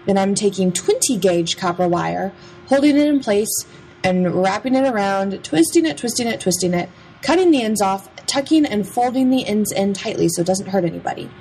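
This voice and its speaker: A woman's voice: medium pitch, lively intonation, normal speed, a little mellow, faint music behind, rough recording. A young adult woman giving a casual, chatty, playful voice-over about a craft process. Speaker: craft vlogger.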